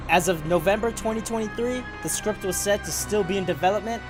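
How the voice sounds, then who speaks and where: A man's voice: high voice, fairly quick. A man narrating a scripted countdown of film news, with casual asides. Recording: loud music under the voice. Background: music.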